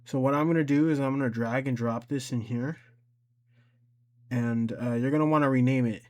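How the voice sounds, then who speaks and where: Regular guy doing a casual screen tutorial, kinda hesitant, medium pitch, thinking out loud. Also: steady tone, normal speed. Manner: informal, hesitant, thinking aloud. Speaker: tutorial host.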